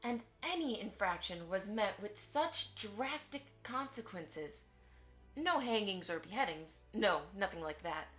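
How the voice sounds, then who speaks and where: A woman's voice: medium pitch, animated, a little slow, a bit bright, faint music behind. A woman playing a polite, chatty pony mother who keeps the peace in an audio drama. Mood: conversational, pointed.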